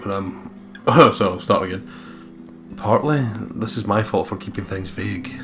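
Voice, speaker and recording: A man's voice: fairly low pitch, moderate pace. A man hosting a let's play, reading game narration aloud with casual commentary. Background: guitar music from game.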